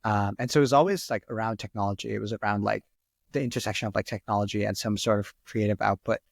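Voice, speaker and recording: A man's voice: on the low side, fairly even intonation, fast, creaky, no echo. A man in his twenties, a first-language Arabic speaker, answering podcast questions in a rambling, casual way full of "like" and "um.". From Sri Lanka. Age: 20s. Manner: rambling, heavy use of "like" and "um". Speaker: guest.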